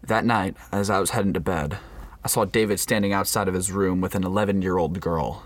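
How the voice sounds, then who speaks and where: A man playing an uneasy undercover federal agent in a scripted radio play, with a measured, solemn delivery. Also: low-pitched, fairly even intonation. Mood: grave, reflective. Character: undercover male FBI agent narrating a compound infiltration, uneasy. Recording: faint background noise.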